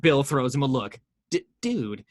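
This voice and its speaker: A man's voice: mid-range voice, big pitch swings, a little slow, no echo, studio quality. A man narrating the action lines of a screenplay table read, with wry, playful asides.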